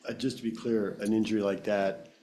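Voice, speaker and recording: A man's voice: lowish voice, level tone, fairly quick, warm tone, a little hiss or hum. An American man hosting sports radio, giving commentary on games and putting questions to a coach.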